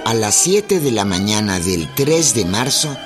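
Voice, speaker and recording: A man with a Mexican accent giving measured, dramatic narration for a historical radio drama. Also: fairly low pitch, big pitch swings, music in the background.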